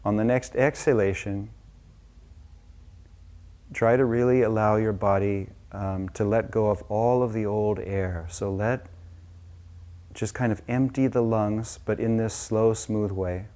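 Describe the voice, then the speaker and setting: Male meditation teacher, warm mellow tone, slightly low pitch, slow and gentle off-the-cuff guidance. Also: fairly even intonation.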